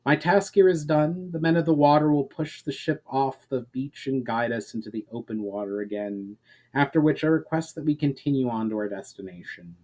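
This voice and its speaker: A man's voice: mid-range voice, brisk, dark tone, close to an everyday voice. A man playing a noble, courteous male elf adventurer in a storybook reading, speaking formally and resolutely. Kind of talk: amateur dramatic storybook reading.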